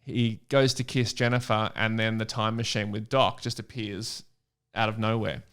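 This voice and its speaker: A man's voice: fairly low pitch, flat delivery, normal speed, a bit bright, clean tone. A man chatting casually and reading a story aloud with comic intent on a podcast.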